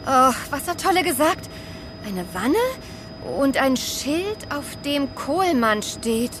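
A woman's voice: high voice, big pitch swings, slow pace, noisy background, heavily acted. A woman playing an alert, eager young girl adventurer in a children's audio play. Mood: puzzling, eager. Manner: eager, puzzling aloud.

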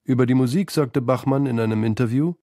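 A man's voice: medium pitch, fast talker, dark tone, no room sound, clean studio sound. A man reading scripted narration as the narrator of a literary feature.

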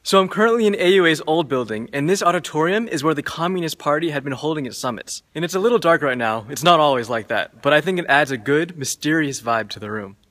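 A man's voice: fairly high pitch, lively intonation, fast talker, hardly any pauses, fairly bright tone. A young man guiding a campus tour as a vlogger, in upbeat scripted narration, recorded on a phone held at arm's length. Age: young adult. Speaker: student vlogger, tour guide. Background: background music.

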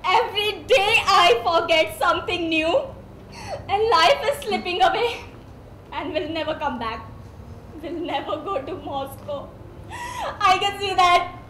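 A young woman worn down and close to tears, played by an actress, speaks slowly in a very high voice. The sound is echoey, as if caught on a stage. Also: lively intonation, a bit bright, heavily acted. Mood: weeping. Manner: tearful, anguished outbursts. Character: young woman, 23, weary and despairing. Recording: echoey stage audio, faint hum.

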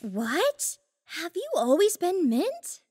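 A woman's voice: extremely high pitch, wildly swooping pitch, slow, darkish tone, heavily acted. A woman playing an eager, naive, gushing teenage monster girl in an audio drama. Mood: surprised, questioning.

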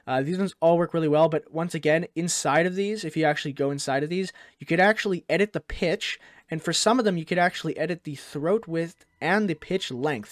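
A man hosting a software tutorial, narrating in a casual, conversational way. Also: fairly high pitch, dry recording, studio quality. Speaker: tutorial host.

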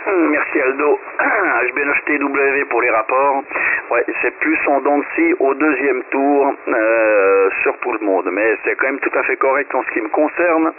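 A man, a ham radio operator, giving signal reports in a relaxed radio chat with joking asides. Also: mid-range voice, a little slow. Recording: tinny shortwave radio with hiss.

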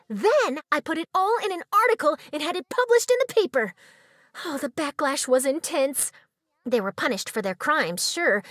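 A woman voicing an excitable, nosy young female newspaper reporter in a video game, perky, dramatic and chatty. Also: high voice, no echo, clean studio sound. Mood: aggrieved storytelling. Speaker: game character voice actor. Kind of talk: animated scripted game character voice lines.

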